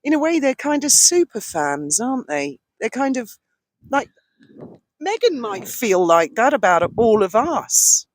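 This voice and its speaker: A British woman with a loud, carrying voice, chatting bluntly and casually as a vlog co-host. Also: fairly high pitch, sing-song, measured pace. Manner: blunt, outspoken. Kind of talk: casual, opinionated chat. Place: outdoors on a boat deck at a marina, breezy evening. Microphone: cheap handheld microphone passed between speakers.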